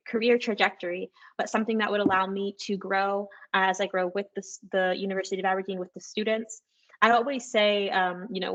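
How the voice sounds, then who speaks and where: Female candidate, flat intonation, dull lo-fi call audio, grateful and rambling. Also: medium pitch. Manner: warm thanks, rambling with ums. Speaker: rector candidate. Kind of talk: closing campaign statement. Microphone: video call.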